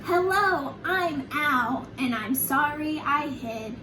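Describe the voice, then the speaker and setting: A woman's voice: high voice, expressive, slow, sharp tone, pitched below the performer's own voice, exaggerated voice. A woman voicing a shy, bashful, apologetic male owl from a children's storybook, with a hesitant delivery. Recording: roomy, distant mic, faint background noise.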